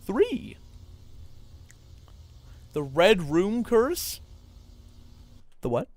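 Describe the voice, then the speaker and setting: A young man hosting a livestream, reading aloud and reacting in an excitable, theatrical, jokey way. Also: high-pitched, extremely slow, no echo. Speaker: livestream host.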